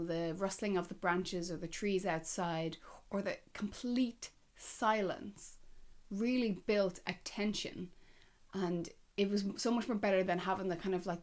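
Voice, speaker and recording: A woman giving a casual, chatty film review, speaking conversationally with emphatic asides and rhetorical questions. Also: lowish voice. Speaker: movie review vlogger.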